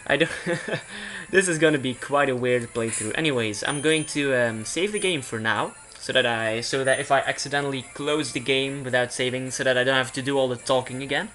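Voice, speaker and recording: A man's voice: mid-range voice, fairly quick, fairly bright tone, soft background music. A young man from Belgium giving casual let's play commentary, rambling and often interrupting himself as he goes. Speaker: video game streamer.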